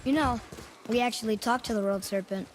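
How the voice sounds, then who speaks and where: A woman's voice: on the high side, brisk, in a large hall, very noisy, natural voice. A performer playing a curious, earnest young boy in a video game, talkative, inquisitive and eager. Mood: proud, chatty.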